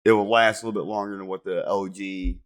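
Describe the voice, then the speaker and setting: A man with a lowish voice, chatting casually as a podcast co-host. He gets excited easily and laughs often, and his voice swings up and down as he talks. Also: fast. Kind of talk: casual chat.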